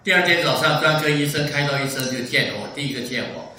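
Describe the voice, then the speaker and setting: A man in his seventies from Taiwan, giving a church testimony in short measured sentences. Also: on the high side, bright, forceful tone. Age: 70s. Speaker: guest speaker giving a testimony. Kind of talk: church testimony in short phrases, paused for interpreting. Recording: echoey church hall, distant mic.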